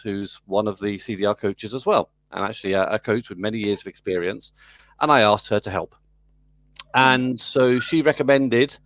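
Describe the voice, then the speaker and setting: British man, measured explanatory tone. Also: fairly low pitch, no echo. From United Kingdom. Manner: measured, explanatory, frequent fillers. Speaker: business school program director. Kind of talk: conversational interview answers. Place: video call.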